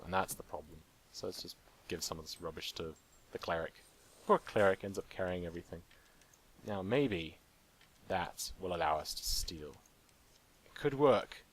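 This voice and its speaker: A man's voice: low voice, poor audio. A man casually commenting on his own gameplay, joking and talking to the game's characters. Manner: playful, jokey, talks to game characters. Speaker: let's play gamer.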